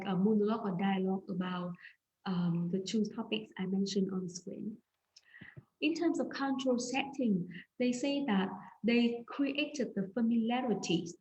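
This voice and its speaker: A woman's voice: medium pitch, a little mellow, muffled recording. A woman from Vietnam, a native Vietnamese speaker, giving an academic conference presentation over slides on a video call, talking hesitantly with many fillers and restarts. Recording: echoey room, faint ambient noise.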